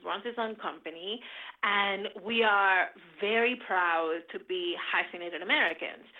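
A middle-aged woman from the Dominican Republic, chatting as a guest in an animated, enthusiastic way. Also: medium pitch. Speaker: podcast guest. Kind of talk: casual interview chat. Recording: tinny phone line.

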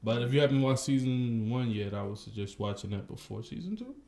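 Male podcast co-host, casual and jokey, mid pitch, roomy echo. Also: expressive, a little fast, dark tone. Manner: joking, laid-back, lots of "uh". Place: indoor room, across from co-host. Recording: echoey room.

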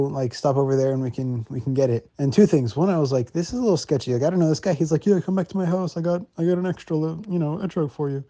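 A man's voice: medium pitch, mellow, muffled recording. A young man from Miami telling a casual anecdote, rambling with lots of "like" fillers. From Miami, United States.

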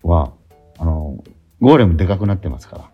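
A man's voice: sits low, sing-song, slightly rough, lo-fi sound, soft background music. A man hosting a radio show, chatting casually and searching for his words as he goes. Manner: halting, searching for words, repeating himself. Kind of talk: casual promotional chat about a stage play.

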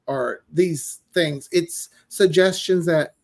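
A man's voice: fairly high pitch, takes it slowly. A man speaking as a podcast guest in casual chat, explaining things in a chatty way. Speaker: podcast guest, audio drama writer.

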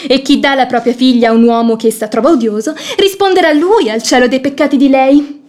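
A woman plays a sharp-tongued, outspoken maid in a radio stage comedy. Her high voice is pert and insistent. She delivers everything with cheeky sarcasm and theatrical flair. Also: fairly quick, natural voice.

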